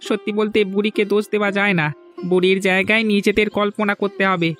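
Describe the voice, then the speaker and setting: A man's voice: sits high, flat delivery, quick pace, fairly bright tone, faint music behind. An actor playing a troubled but practical male villager in a fairy-tale cartoon, earnestly reasoning things out.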